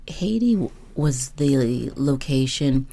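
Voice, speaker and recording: Very deep-voiced, creaky woman interviewer. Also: lively intonation, warm tone. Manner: reflective, probing, builds questions slowly. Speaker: host, interviewer. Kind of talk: conversational interview.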